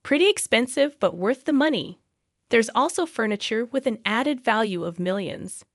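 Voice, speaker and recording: A woman's voice: on the high side, expressive, normal speed, dry recording, studio quality. A woman voicing a wronged, defiant young wife in a narrated story, challenging with questions. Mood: proud.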